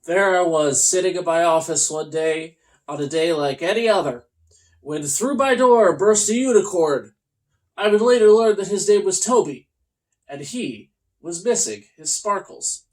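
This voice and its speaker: A host reading a comic children's storybook aloud in a theatrical, mock-earnest way with deliberate pauses. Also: mid-range voice, expressive, rough recording.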